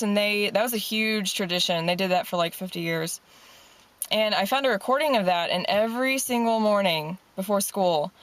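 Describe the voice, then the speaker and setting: A woman's voice: mid-range voice, no echo. A young adult woman telling a personal story in an earnest, casual, encouraging way, like a motivational talk. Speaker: singer and motivational speaker.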